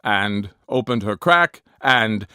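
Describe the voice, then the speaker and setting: A man voicing a pompous, fussy German consulate official with a German accent and a formal, deadpan, repetitive manner. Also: on the low side, slow pace, clear, resonant tone, no echo, clean studio sound, full character voice. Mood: earnest, formal.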